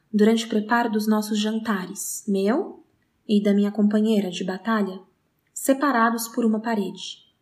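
A woman acting as a solitary, obsessive counter of everyday sounds, precise and wryly keen. Her mid-pitched voice confides in an intimate audio-drama monologue, shaping phrases deliberately with dramatic pauses. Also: lightly acted. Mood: wistful, confiding.

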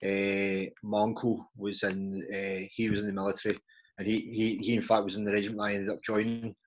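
A man in his forties with a Glaswegian Scottish accent and a fairly low voice talks through his past. He stops and restarts often, doubles his words and fills the gaps as he goes. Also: little pitch movement, slight background noise, rough recording. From Scotland. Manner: halting, repeats words, many fillers. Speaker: podcast guest. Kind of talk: casual interview chat.

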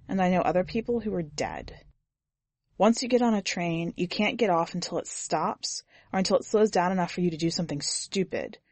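A woman reading scripted first-person fiction as a narrator, with measured, grave, deliberate phrasing. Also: fairly low pitch, a little mellow, no room sound. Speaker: podcast editor and story narrator.

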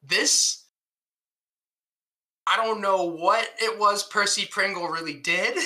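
A man's voice: high-pitched, slow. A man co-hosting a podcast, casually recapping with frequent laughs and plenty of swearing. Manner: laughs often, swears freely, animated recapping. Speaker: podcast co-host. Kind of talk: casual chat.

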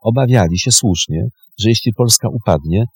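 A male historian explains in unscripted interview talk. His voice is low, mellow and husky. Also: moderate pace, no room sound, studio quality. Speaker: historian guest.

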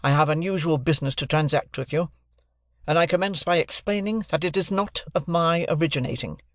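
A man's voice: a little high, brisk, a bit bright, dry recording, studio quality, pitched above the performer's own voice, lightly acted. A man voicing a brusque, stern middle-aged lawyer who speaks in curt, clipped, commanding sentences. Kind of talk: audiobook character voice.

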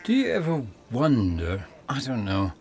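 A man's voice: mid-range voice, huge pitch swings, a little slow, darkish tone, a little hiss or hum. A man playing a mild, sensible, doubting colonial villager in a comedic audio play, speaking in a dry, hesitant way. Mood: doubtful. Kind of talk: comedic audio theater acting.

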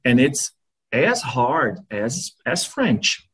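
Animated Brazilian man with a husky edge. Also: mid-range voice, extremely slow. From Brazil, Rio. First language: Portuguese. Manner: animated, repeats phrases for emphasis. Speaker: podcast guest, language learner. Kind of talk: casual conversation, storytelling.

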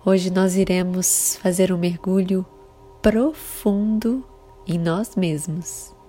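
A Brazilian woman leading a guided meditation in a calm, soothing, measured way with long pauses between phrases. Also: lowish voice. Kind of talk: slow guided meditation narration. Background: soft sustained meditation music.

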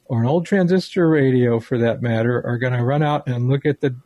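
A man's voice: mid-range voice, fairly quick, dark tone, no echo. A man with an American accent hosting a podcast in a casual, rambling, folksy way. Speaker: podcast host.

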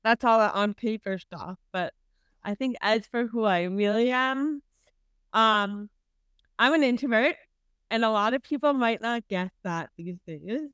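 A woman in her twenties co-hosts a podcast in easy, quirky chat, laughing often. Her voice is exceptionally clear and smooth. The sound is dry and close to the mic. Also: on the high side, a little slow, fairly bright tone, no room sound. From New York. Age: 20s. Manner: giggly, quirky, chatty. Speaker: podcast co-host. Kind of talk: casual chat.

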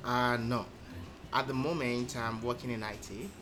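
A man, a podcast guest telling his story in measured, thoughtful speech full of um pauses. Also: mid-range voice, steady tone, crowd noise. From Nigeria. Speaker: interview guest. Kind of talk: casual conversational storytelling.

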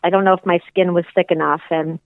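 A woman's voice: on the low side, steady tone, fast, dry recording. A woman musician being interviewed, speaking reflectively and hesitantly with many restarts. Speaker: musician guest. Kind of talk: casual interview chat. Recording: tinny phone line.

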